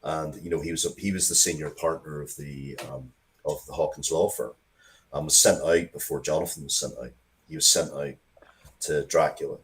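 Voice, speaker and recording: A man with a low voice talks as an interview guest. He tells stories with emphasis and rambles a little, often breaking off and starting a sentence again. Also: fairly even intonation, normal speed, a little hiss or hum. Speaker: guest, writer of Dracula media. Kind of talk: animated interview talk, storytelling.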